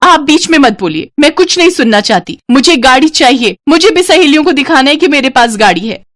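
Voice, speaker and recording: A woman voicing a showy, greedy young wife in an audio story, whiny, boastful and excitable. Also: high-pitched, fairly quick, no echo, studio quality, pitched above the performer's own voice. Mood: petulant demanding.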